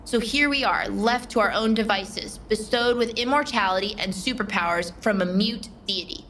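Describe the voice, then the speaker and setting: Woman acting a defiant, bright-voiced soldier. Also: medium pitch, moderate pace, slight background noise, close to an everyday voice, poor audio. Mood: grieving, bitter. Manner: forceful, indignant, emphatic. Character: defiant, impassioned female soldier guardian standing her ground. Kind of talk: dramatic fan fiction audio drama.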